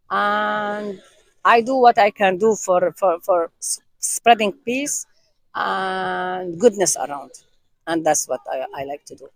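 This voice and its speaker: A woman's voice: medium pitch, dry recording, low-quality recording. A woman answering interview questions earnestly, repeating words as she searches for what to say.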